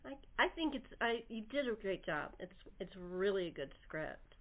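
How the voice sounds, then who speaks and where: A woman's voice: medium pitch, sing-song, normal speed. Woman hosting over a thin phone line. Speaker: host and producer. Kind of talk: casual interview. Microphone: telephone or internet call.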